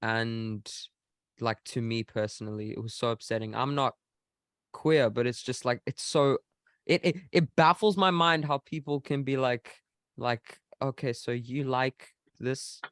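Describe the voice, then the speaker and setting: A man's voice: mid-range voice, fairly even intonation, measured pace, fairly bright tone, clear, resonant tone, dry recording, studio quality. A man from Africa, a guest reviewer who talks in a hesitant, thoughtful way. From South Africa. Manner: hesitant, thoughtful, many restarts. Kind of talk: casual video call chat.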